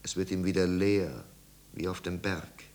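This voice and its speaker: A man narrating a bleak 1950s radio drama as a somber, measured storyteller. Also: low-pitched, steady tone. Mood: grave, hushed. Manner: hushed, grave, measured. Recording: old radio recording with hiss and hum.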